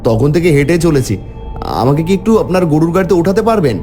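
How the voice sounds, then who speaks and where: A man's voice: fairly high pitch, expressive, brisk, music in the background. A man narrating a cartoon horror story in the first person, theatrical and exclamatory when voicing the dialogue.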